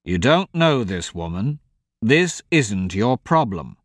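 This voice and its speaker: A man voicing a cold, sardonic young male thief who talks in a dry, clipped, deadpan way. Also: lowish voice, slow, dry recording, heavily acted.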